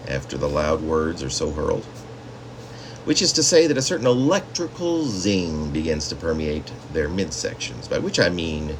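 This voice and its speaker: A man reading his own literary story as a poet, with theatrical, drawn-out phrasing and dramatic emphasis. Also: rumbling low, a little hiss or hum, poor audio.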